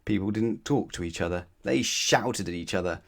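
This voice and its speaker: A man's voice: lowish voice, normal speed, gravelly. A man narrating a children's bedtime story expressively, putting questions to his young listeners. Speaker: storybook reader.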